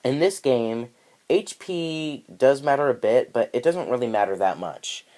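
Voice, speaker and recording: A man's voice: mid-range voice, animated, measured pace, warm tone. A man casually reviewing a video game, rambling and hesitant, often correcting himself, and sounding slightly nasal from allergies. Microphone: handheld camera mic.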